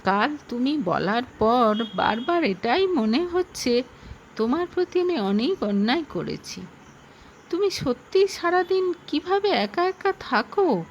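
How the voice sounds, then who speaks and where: Woman as earnest husband, slow and expressive. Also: high-pitched, lo-fi sound, slight background noise, exaggerated voice. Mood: attentive. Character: devoted, suspicious husband, a police officer. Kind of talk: audio story acting.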